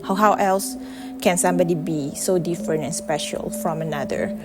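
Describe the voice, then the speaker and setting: A woman's voice: lowish voice, moderate pace, loud music behind the voice. A woman from Malaysia, a native Malay speaker with a Malaysian accent, talking straight to camera in a casual vlog, blunt and profane with heavy emphasis. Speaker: vlogger. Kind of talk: casual direct-to-camera monologue.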